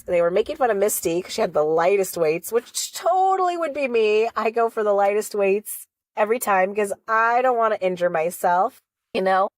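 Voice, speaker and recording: A woman's voice: mid-range voice, dry recording. Casting note: a woman, a bit sniffly from a cold, with a rambling, chatty recap style and a mid-range voice, recorded close and dry. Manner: rambling, chatty, warm encouragement. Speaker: reality show reaction vlogger. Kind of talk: casual recap vlog.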